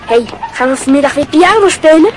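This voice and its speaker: A woman's voice: sits high, lively intonation, a little fast, background noise. A woman playing a brash, cheeky schoolboy in a radio play, talking crudely and boastfully. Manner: cocky, crude, laughing.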